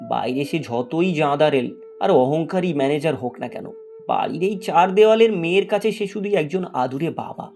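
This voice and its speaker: A man's voice: a little high, warm tone. A man narrating a cartoon moral story in a dramatic, scripted storytelling style.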